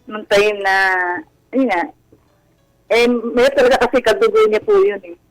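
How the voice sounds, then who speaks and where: A man's voice: very high voice, big pitch swings, measured pace, gravelly, no echo, soft background music, poor audio. A man with a Filipino accent calling in to a radio show, speaking politely and hesitantly as he confides. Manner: polite, deferential. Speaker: call-in guest.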